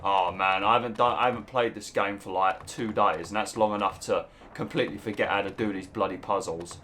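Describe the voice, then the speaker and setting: A young British man talks through a game in a relaxed, chatty way, his voice sitting a bit low. His throat sounds a little rough and hoarse. He now and then bursts out in mild, exasperated swearing at what happens on screen. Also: a little fast, slight background noise. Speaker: let's play host. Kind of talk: casual gameplay commentary.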